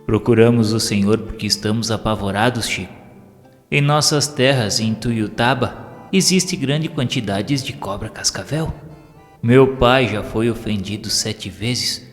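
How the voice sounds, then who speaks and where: A man's voice: on the low side, a little slow, close to an everyday voice. A Brazilian man voicing a worried young male farmer, earnest and pleading, in an audiobook. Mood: frightened, earnest. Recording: long reverb with music behind.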